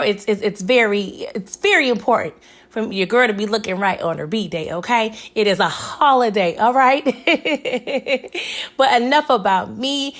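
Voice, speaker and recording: A young woman with a raspy, hoarse voice, chatting casually through a TV episode recap and often asking "okay?". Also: fairly high pitch. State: hoarse from recent illness. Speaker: TV recap vlogger.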